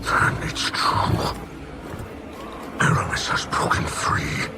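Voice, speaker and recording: A man voicing a stern, cautious alien captain and protective father in a video game, with a gravelly, growling voice. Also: slow, loud music behind the voice, close to an everyday voice. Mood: grave, wary.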